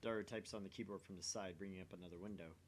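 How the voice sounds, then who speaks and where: A man's voice: low-pitched, fairly even intonation, racing, slight background noise. A man reading narration aloud for a voice-acted game playthrough. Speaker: visual novel let's player reading aloud.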